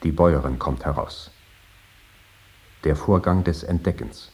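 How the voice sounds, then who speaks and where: A man with a husky voice reading scripted documentary narration in a terse, matter-of-fact manner. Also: extremely low pitch, measured pace, noisy background.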